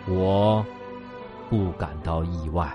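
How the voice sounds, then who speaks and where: A man acting in a sci-fi radio drama as a centuries-old future human, speaking in a measured, matter-of-fact way. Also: low-pitched, dull audio, over music. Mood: speculative. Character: far-future man aged 223, measured, unsurprised questioner.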